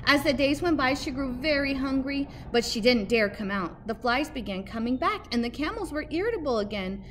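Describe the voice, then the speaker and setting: A woman in her thirties reading a picture book aloud expressively and emphatically, like a teacher, pausing to ask questions. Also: high voice, animated. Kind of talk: expressive children's storybook read-aloud. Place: outdoors by a brick building entrance. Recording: faint outdoor background noise.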